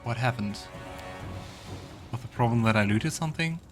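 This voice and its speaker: A man's voice: medium pitch, moderate pace. A man hosting a let's play, casually commenting, musing aloud and reading game text. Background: faint game music.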